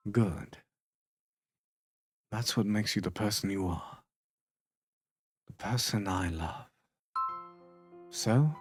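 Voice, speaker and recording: An honorable, lovestruck prince, played by a man, talks slowly and tenderly in a medium male voice. His delivery is earnest romantic theatre. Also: medium pitch, darkish tone, natural voice. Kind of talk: romantic theatrical acting in a fan dub.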